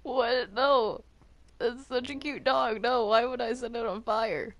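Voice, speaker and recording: A woman in improvised in-game roleplay chat, excitable and stammering, often repeating her phrases. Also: fairly high pitch, a little slow, faint music behind, rough recording. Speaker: Minecraft roleplay player.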